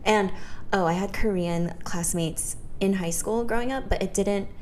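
Relaxed young woman telling stories. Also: mid-range voice, normal speed, a little hiss or hum. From California. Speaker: podcast guest, nurse. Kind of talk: casual chat.

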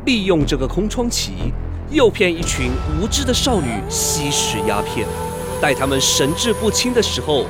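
A man's voice: on the high side, big pitch swings, normal speed. A man giving dramatic scripted narration as the storyteller of a radio drama. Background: music.

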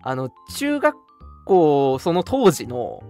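Animated young man chatting over music. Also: fairly high pitch, takes it slowly, creaky. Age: young adult. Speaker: radio show co-host. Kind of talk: casual chat, reminiscing. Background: background music.

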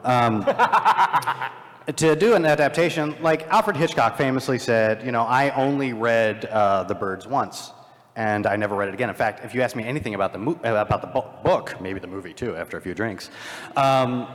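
A man giving halting, explanatory commentary on films and games as a panelist at a live event. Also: medium pitch. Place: large indoor convention panel room. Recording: echoey large hall, live panel sound.

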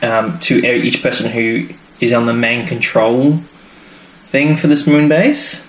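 A man's voice: medium pitch, fairly even intonation, unhurried. A man co-hosting a podcast, chatting casually and rambling as he trails off mid-thought. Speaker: podcast co-host. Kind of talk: casual chat reviewing a TV serial. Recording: echoey room with background noise.

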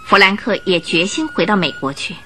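Animated female radio drama narrator. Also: mid-range voice, brisk, a little hiss or hum. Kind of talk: scripted radio drama storytelling.

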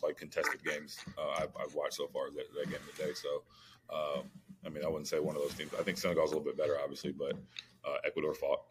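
A man with a very deep bass voice talks soccer at a quick clip. He is informal and slangy, like a podcast co-host chatting with a friend. There is some faint noise behind him. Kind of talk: casual soccer discussion.

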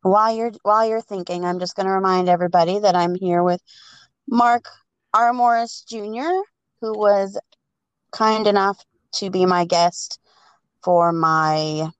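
A woman podcast host speaks at a slow, unhurried pace in a dry, close recording. She chats casually and makes up a story as she goes, halting between phrases. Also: medium pitch, fairly even intonation, clear, resonant tone, no echo, lo-fi sound. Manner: halting, pausing between phrases when improvising. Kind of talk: casual chat and improvised storytelling.

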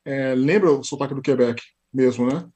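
A man's voice: mid-range voice, moderate pace, mellow, husky, muffled recording. A Brazilian man with a São Paulo accent, a podcast guest who tells stories in casual chat and acts out the dialogue he quotes. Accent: São Paulo Portuguese.

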